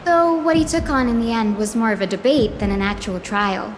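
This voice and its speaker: A woman voicing an inquisitive young adventurer in a fantasy video game, with an expressive, conversational, playful delivery. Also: fairly high pitch, brisk, a little hiss or hum, lightly acted. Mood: storytelling. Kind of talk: video game character dialogue and storytelling. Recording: studio voice over game music. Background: game music.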